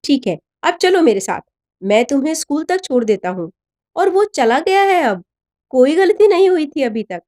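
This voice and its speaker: A woman's voice: high-pitched, big pitch swings, moderate pace, darkish tone, voice pushed up. A woman voicing a protective, confident older schoolboy with a blunt, brotherly way of talking, in a story reading. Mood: reassuring.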